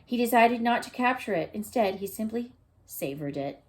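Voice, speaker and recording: A woman teacher reading a picture book aloud expressively, pausing to put gentle, thoughtful questions to her young listeners. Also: fairly high pitch, animated. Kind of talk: expressive children's read-aloud with asides to listeners.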